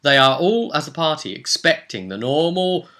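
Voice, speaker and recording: A man hosting as game master, recapping a tabletop role-play session in an animated, conversational storytelling way. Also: fairly high pitch, normal speed.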